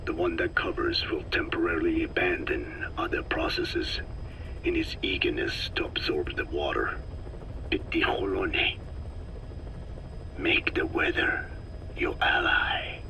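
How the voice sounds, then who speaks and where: An actor playing a grave, weary elderly scientist in a game cutscene, speaking in halting, measured phrases with a breathy, rasping half-whisper. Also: male voice, rumbling low, expressive, recorded from a distance, heavily acted, low-quality recording. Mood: solemn, explanatory. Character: elderly male scientist, grave, slow, weary parasite researcher. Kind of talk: video game cutscene exposition. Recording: clear background noise under voice.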